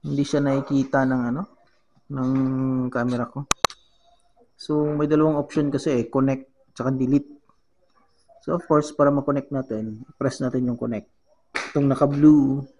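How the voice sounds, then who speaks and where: A man with a Filipino accent giving a casual how-to demonstration as a vlogger, halting as he searches for words and mixing two languages. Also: mid-range voice, fairly even intonation, warm tone, lo-fi sound, a little hiss or hum. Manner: halting, searching for words, switches between Tagalog and English. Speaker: vlogger giving a gadget tutorial.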